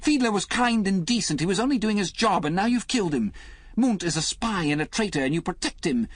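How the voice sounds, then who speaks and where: A woman's voice: very deep voice, very animated, fairly quick, a little mellow, breathy edge, no echo, voice pushed up, full character voice. A man voicing a distressed young woman who pleads and accuses. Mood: anguished. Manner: anguished, pleading. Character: distressed young woman, idealistic party member.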